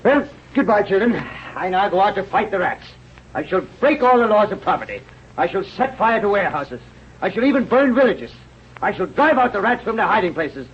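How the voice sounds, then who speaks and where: A man's voice: high-pitched, very animated, a little fast. An actor in a 1930s radio drama playing a boisterous, big-hearted male adventurer and rat catcher, declaiming in bombastic broken English. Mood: boisterous, boastful. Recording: muffled, noisy old radio recording.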